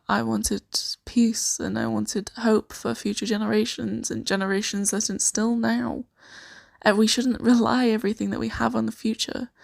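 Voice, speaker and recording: Girl playing a kindly sanctuary host in a fantasy asmr roleplay, soft n hesitant, lots of vocal fry, highish voice. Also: dry recording, exaggerated voice. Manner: soft-spoken, gently hesitant, reassuring. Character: kindly, earnest woman welcoming newcomers to a secret fantasy sanctuary.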